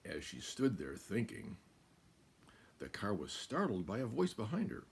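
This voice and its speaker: An older American man reading a children's picture book aloud, deliberate and expressive, with pauses. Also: on the low side, gravelly, husky. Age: older, about 70s. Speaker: guest storyteller. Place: small room at home, indoors.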